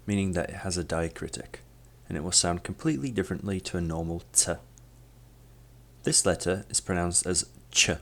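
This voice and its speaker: A young man whose first language is Polish, presenting a scripted language lesson in a measured, explanatory way and demonstrating sounds as he goes. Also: deep voice, a bit bright. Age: young adult. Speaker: student presenter.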